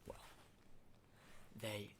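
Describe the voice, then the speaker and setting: A man playing an unsettling, lonely, hardened apocalypse survivor in an ASMR roleplay, speaking in a halting, breathy whisper and soft voice. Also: a little hiss or hum. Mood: haunted, storytelling. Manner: hushed, halting, repeats words, mild swearing.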